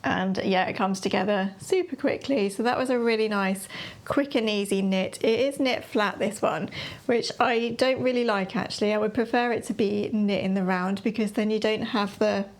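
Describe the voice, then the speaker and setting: Chatty woman, slightly croaky. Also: mid-range voice, moderate pace. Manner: chatty, rambling, laughs between thoughts. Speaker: sewing and knitting vlogger. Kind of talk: chatty catch-up.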